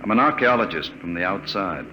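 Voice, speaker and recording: A man's voice: sits low, animated, brisk. An actor playing an earnest male archaeologist from another world in a 1950s radio drama, both narrating and speaking in dialogue. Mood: pleading, hurt. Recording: noisy old 1950s radio recording.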